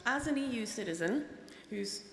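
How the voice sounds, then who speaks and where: A woman in the audience asking the panel a prepared question in a measured way, with frequent ums. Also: on the high side, expressive, fairly bright tone, a little hiss or hum. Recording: roomy hall with faint ambience.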